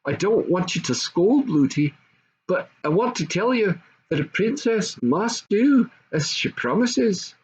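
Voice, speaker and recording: A loving little storybook princess, acted out by an older man, speaks in a raised, childlike pitch. She is earnest and eager, given to exclaiming. The home recording is a touch dull, with faint noise. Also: very animated, measured pace. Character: eager, affectionate little princess in a fairy tale.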